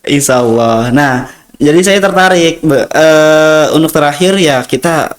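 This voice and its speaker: Chatty young Indonesian man. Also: mid-range voice. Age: young adult. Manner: rambling with many fillers, acts out dialogue. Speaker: interview guest, student. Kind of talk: casual storytelling interview.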